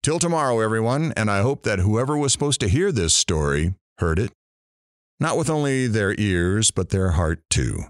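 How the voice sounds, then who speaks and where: A man acts out a heartfelt radio talk show host in an audio drama. The voice is very deep and expressive, wistful in manner, and recorded dry and close. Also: moderate pace, no room sound.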